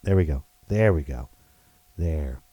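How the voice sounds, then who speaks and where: A man hosting his own podcast, talking loud and playful in a rambling way with many restarts. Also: a bass voice, expressive, a little slow, breathy edge, dry recording, dull audio. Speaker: podcast host. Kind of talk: casual solo podcast monologue.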